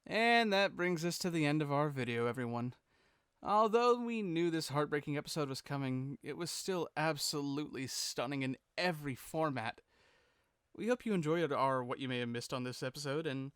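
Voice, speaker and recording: A man's voice: fairly high pitch, animated, moderate pace, a bit bright, clean tone, dry recording, clean studio sound. A man co-hosting a scripted commentary, casual and sweary in his asides, with music playing behind him. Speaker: video co-host. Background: music bed.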